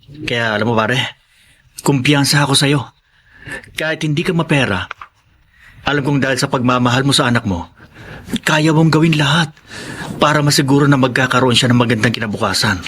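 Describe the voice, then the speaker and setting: A man's voice: medium pitch, brisk, long pauses, fairly bright tone, slightly rough. An actor playing an encouraging male friend in a Filipino radio drama, talking in a reassuring, conversational way. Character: loyal, encouraging male friend of a struggling father. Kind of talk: Tagalog radio drama acting. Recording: faint background noise.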